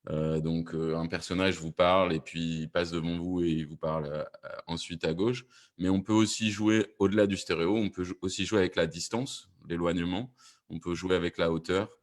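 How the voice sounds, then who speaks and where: A man's voice: low voice, fairly even intonation, moderate pace. A man speaking as a podcast producer on a discussion panel, explaining things and often rephrasing as he goes.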